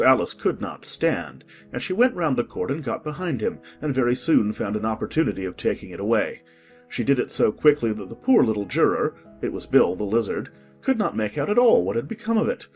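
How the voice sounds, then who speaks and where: A man narrating a dramatized audiobook with expressive storytelling. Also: mid-range voice, brisk, faint music behind.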